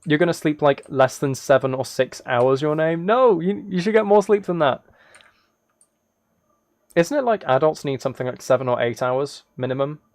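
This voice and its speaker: A man's voice: a little high, animated, normal speed, darkish tone, no echo. Casual male streamer, dry close sound. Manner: rambling, thinking aloud. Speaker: live streamer. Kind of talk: casual livestream chat while gaming, reading chat.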